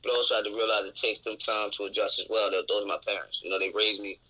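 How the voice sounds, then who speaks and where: Raspy, outspoken young man. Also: lowish voice, level tone, fast talker, sharp tone, low-quality recording. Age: 20s. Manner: outspoken, open and reflective. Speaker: interview guest. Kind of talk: candid casual conversation. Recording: radio show recording.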